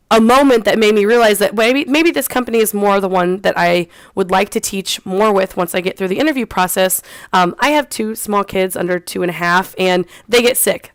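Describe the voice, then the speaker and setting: A young woman rambles through her experience in a relaxed, chatty way, peppered with ums, restarts and small laughs. Her voice is smooth and clear. The sound is dry and close, with no room echo. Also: mid-range voice. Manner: laughs lightly between phrases. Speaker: online English teacher. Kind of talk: casual vlog chat.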